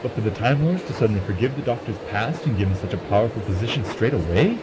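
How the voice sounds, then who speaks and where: A man's voice: fairly low pitch, lively intonation, fast, background noise, lightly acted. A man playing a wary, serious commander in a sci-fi audio drama, sober and understated. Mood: suspicious.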